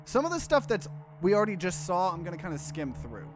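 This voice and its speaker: A man's voice: sits high, sing-song, fast, over music. A man doing a let's play, reading visual novel narration aloud and dropping in casual, offhand commentary. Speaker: streamer, let's player.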